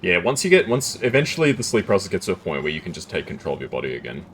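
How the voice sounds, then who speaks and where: Man, young adult, deep voice, casual game streamer, relaxed chatty talk, lively up-and-down intonation. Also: racing, a little hiss or hum. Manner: relaxed, chatty, thinks aloud while reading chat. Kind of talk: casual gameplay chat with viewers.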